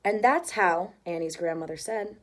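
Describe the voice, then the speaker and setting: A woman reading a children's picture book aloud, voicing the characters' lines with lively expression. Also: on the low side, normal speed. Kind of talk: storybook read-aloud.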